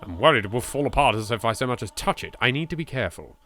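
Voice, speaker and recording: A man's voice: medium pitch, expressive, fast, sharp tone. A British man doing a let's play, reading horror game text aloud with theatrical expression and dropping in his own sweary asides. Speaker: video game let's player.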